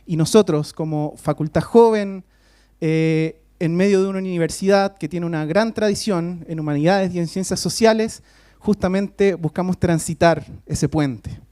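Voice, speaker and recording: A man's voice: high voice, moderate pace, warm tone, clear, resonant tone, dry recording, clean studio sound. Hesitant man introducing a guest. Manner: hesitant, many filler sounds, switches between Spanish and English. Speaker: faculty host introducing a guest speaker. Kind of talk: formal talk introduction. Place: university lecture hall.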